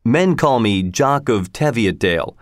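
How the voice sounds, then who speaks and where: A man's voice: medium pitch, lively intonation, unhurried, no room sound, clean studio sound, lightly acted. A man reading a storybook, voicing a bold male stranger who answers bluntly and defiantly.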